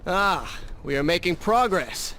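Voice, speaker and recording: A man's voice: high-pitched, sing-song, a little slow, pushed and bright, slight background noise, exaggerated voice. A man playing a weary, sarcastic young warrior prince in a video game, with a wry, dry manner. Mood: sarcastic, pessimistic. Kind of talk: video game dramatic voice acting.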